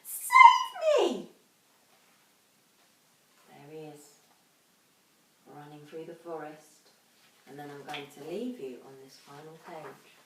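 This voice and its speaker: A woman's voice: deep voice, fairly even intonation, noisy background. Female infant teacher, dramatic children's reading style, recorded away from the mic in a roomy space. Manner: dramatic, emphatic, drawn-out sound words. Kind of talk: expressive children's storybook reading and instruction. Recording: echoey room, distant microphone.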